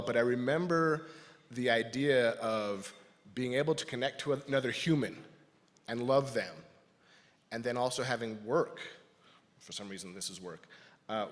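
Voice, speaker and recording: A man with a medium-pitched voice gives a personal reflection to a congregation. He speaks thoughtfully and haltingly, letting phrases trail off softly. The sound carries the long reverb of a large indoor hall. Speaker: lay speaker giving a church reflection. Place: large indoor church space.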